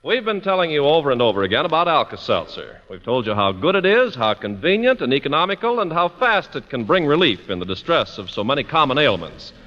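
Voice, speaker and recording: A man delivers a 1940s radio announcement in a bright, rather high and lively voice. His pitch is polished and emphatic, and the old recording is dull with a long hall reverb. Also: fast talker, few pauses.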